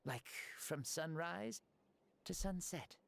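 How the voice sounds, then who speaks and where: An actor playing a genial ancient man returned from death, telling his tale gently in game cutscene dialogue. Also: on the high side, creaky, slight background noise, full character voice. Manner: gentle, lively, measured storytelling. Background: soft game music.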